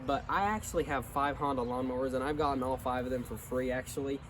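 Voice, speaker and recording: A man's voice: mid-range voice, fast talker, background noise. A young man with a congested voice from illness or allergies, casually reviewing his lawn mower in a hesitant, rambling way full of fillers and restarts. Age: young adult.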